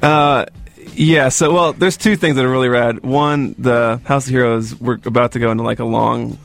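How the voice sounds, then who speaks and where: A man's voice: medium pitch, steady tone, moderate pace, creaky. A man who plays music, a guest in a studio chat, laid-back and laughing as he talks. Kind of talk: casual chat.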